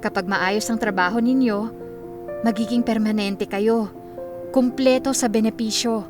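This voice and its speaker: A woman's voice: a little high, steady tone, a little slow, over music, close to an everyday voice. A woman playing a kind, polite and businesslike young lady of a wealthy house in a Filipino radio drama. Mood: kindly businesslike.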